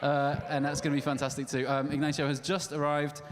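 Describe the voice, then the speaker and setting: A man hosting a live event, talking haltingly with lots of ums and wry jokes. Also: a little high, little pitch movement, background noise. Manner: hesitant, many fillers, joking. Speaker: event host. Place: live venue stage with audience. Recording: long hall reverb.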